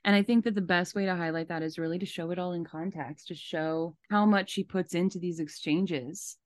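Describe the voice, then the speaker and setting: A woman's voice: on the low side, steady tone, a little fast. A woman hosting a podcast, giving casual, emphatic commentary and repeating phrases for stress. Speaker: podcast host.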